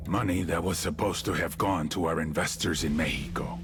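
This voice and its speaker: An actor playing a cold, menacing cartel boss who narrates crime events in a deliberate, ominous, terse way. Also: male voice, fairly even intonation, lightly acted. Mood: stern, ominous. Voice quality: deep, gravelly. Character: deep, cold, menacing cartel boss telling his story. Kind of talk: dramatic crime-story narration. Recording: music behind the voice.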